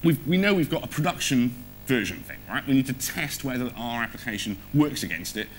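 A man's voice: medium pitch, lively intonation, brisk, slight background noise, low-quality recording. A man giving a technical conference talk on stage in an informal, conversational way, often putting questions to the audience. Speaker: conference speaker. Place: on stage in a theatre or auditorium.

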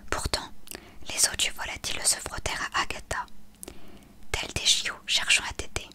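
A woman reading a story aloud as scripted narration, expressive and hushed. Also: normal speed, in a whisper. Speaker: audiobook reader.

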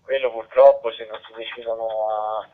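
A man's voice: on the low side, flat delivery, normal speed. A man, a radio amateur chatting casually over the air in a rambling way, repeating words as he goes. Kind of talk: casual radio contact chat. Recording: muffled narrowband digital two-way radio.

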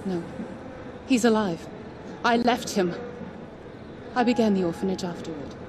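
An actress playing a gentle, sorrowful middle-aged French orphanage keeper in a video game, soft and reflective. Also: female voice, medium pitch, unhurried, noisy background, close to an everyday voice. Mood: wistful. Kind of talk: video game cutscene acting.

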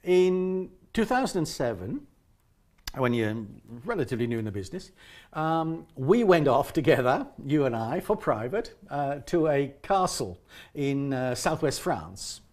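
A talkative man conducts an interview with a lively, up-and-down pitch. His voice sits a little high for a man, and he often restarts his sentences mid-thought. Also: measured pace. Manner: talkative, animated, frequent restarts. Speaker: interviewer and director. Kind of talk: chatty interview.

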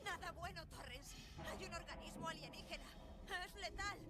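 A woman's voice: extremely high pitch, moderate pace, a loud crowd. A woman playing an urgent, pleading young spacefarer in a video game. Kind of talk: dramatic video game acting.

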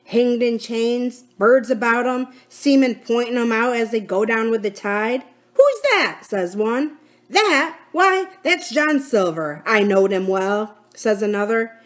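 A woman's voice: fairly high pitch, pitched above the performer's own voice. A woman voicing a cunning, scornful male pirate captain and sea cook in a storybook, with sardonic, folksy sailor talk. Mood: indignant, vehement. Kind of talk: dramatic storybook character reading.

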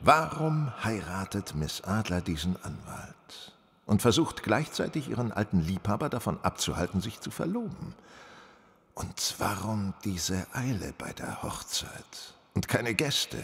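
Deep, gravelly, wry detective. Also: male voice, very animated, fairly bright tone, big-room sound, exaggerated voice. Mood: pondering. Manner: measured, pondering, rhetorical questions. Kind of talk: German radio play acting.

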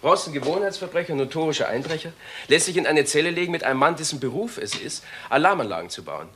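A man acting an eager, impatient younger detective in a crime radio drama, brisk and insistent. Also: a little high, lively intonation, a bit bright, a little hiss or hum.

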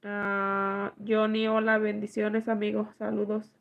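A woman, hoarse from allergies, chatting slowly with viewers and reading their comments aloud on a live stream from home at night. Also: mid-range voice, completely flat delivery, narrow, tinny audio. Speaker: livestream host reading chat. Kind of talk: casual live chat with viewers.

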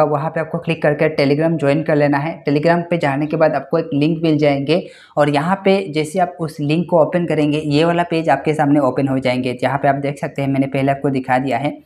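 A young man hosting a job-vacancy channel, walking viewers through a listing on screen in a quick, repetitive explainer style, often addressing them as guys. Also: a little high, a little mellow. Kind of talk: screen-share walkthrough explainer.

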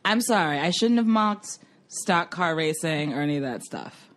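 A woman's voice: low voice, expressive, moderate pace. A woman co-hosting a podcast in casual, playful debate, hesitant and teasing. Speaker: podcast co-host.

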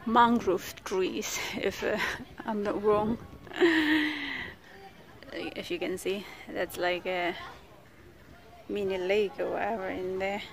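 Slow-talking woman on a walking vlog. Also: medium pitch, fairly even intonation. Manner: chatty, laughs often, many fillers. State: tired from walking in the heat. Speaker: vlogger. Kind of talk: casual walking tour narration. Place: outdoors at a beach park with trees.

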